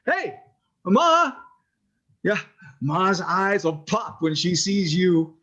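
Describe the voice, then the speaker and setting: A man's voice: high-pitched, very animated, drawn-out words, pushed and bright, muffled recording, full character voice. A man playing a nervous, eager young husband and mama's boy in an old-time radio drama, hesitant and boyish. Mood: eager, excited.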